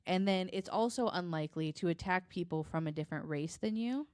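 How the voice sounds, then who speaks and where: A woman recounting true crime cases in a conversational, halting and informal way, hedging as she works from notes. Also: on the low side, darkish tone, smooth, no room sound, clean studio sound. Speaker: podcast guest.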